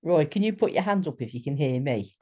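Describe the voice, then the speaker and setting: A meeting chair running an online call, briskly directing who speaks next. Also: medium pitch, lively intonation, highs cut off. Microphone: video call.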